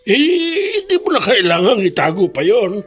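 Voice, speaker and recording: A man playing a frail, gentle elderly father in a Filipino radio drama, husky and resigned. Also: high voice, huge pitch swings, normal speed, soft background music, full character voice. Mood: concerned. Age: older.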